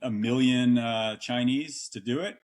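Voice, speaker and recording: A middle-aged man who is a retired military officer, talking casually as an interview guest and pausing often with fillers and restarts. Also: mid-range voice, darkish tone. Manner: halting, with many fillers and restarts. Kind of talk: casual conversation.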